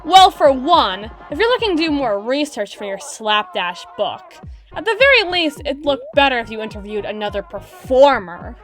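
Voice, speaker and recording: Woman voicing a sarcastic young spider-girl bouncer, animated pitch. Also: normal speed. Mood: defensive, dismissive. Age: 20s. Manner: wry, brusque, theatrical delivery with exasperated sighs. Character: gruff, sarcastic, guarded 25-year-old drider girl bouncer. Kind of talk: ASMR roleplay audio drama. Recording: music playing in the middle distance. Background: club song playing in the middle distance.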